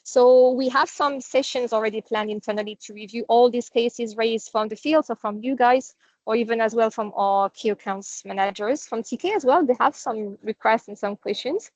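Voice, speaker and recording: A woman's voice: on the high side, moderate pace, darkish tone, no room sound. A non-native English-speaking woman presenting on a company call, explaining things in a conversational, informal business style. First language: not English. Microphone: online conference call. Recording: dull, dry online call audio.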